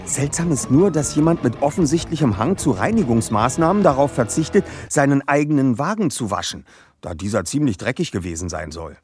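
Precise, lively boy detective leader. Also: medium pitch, a little fast, faint music behind. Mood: businesslike. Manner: precise, bookish, matter-of-fact. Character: teenage boy detective leader, precise, self-assured, formal. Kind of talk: German audio drama acting.

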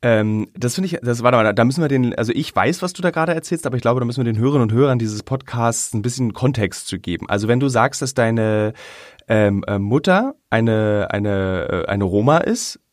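A man's voice: medium pitch, lively intonation, brisk, no room sound, clean studio sound. A man hosting a podcast interview, asking long, winding questions and often restarting mid-sentence. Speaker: podcast host. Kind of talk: interview conversation.

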